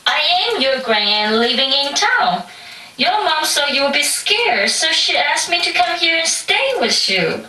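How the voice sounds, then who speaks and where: A sly, hungry tiger posing as an old aunt for a puppet play. The delivery is boastful, wheedling and drawn out, with a bright edge. It is heard from far off in an echoey space. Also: female voice, high voice, sing-song, heavily acted. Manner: theatrical, slow and wheedling. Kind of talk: puppet show stage acting. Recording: echoey hall from far off, faint noise.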